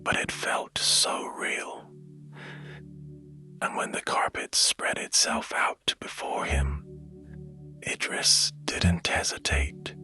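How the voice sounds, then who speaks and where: Bedtime story narrator, male: extremely low whisper, soothing and gentle, music playing clearly behind. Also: level tone. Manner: hushed, gentle, soothing, unhurried.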